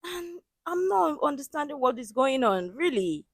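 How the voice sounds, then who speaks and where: A woman hosting a reaction video, commenting casually with animated exclamations. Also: high voice, moderate pace, dry recording, studio quality.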